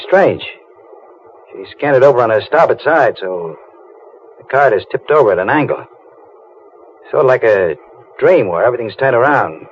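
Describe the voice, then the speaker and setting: An actor playing a troubled, guilt-haunted deep-sea diver in old-time radio drama, halting and brooding. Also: male voice. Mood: uneasy, halting. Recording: muffled, noisy old radio recording.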